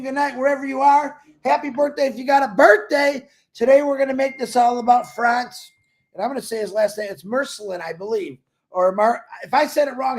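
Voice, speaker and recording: Man, co-host, very high voice, jokey, greeting-heavy intro. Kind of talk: upbeat live-stream introduction.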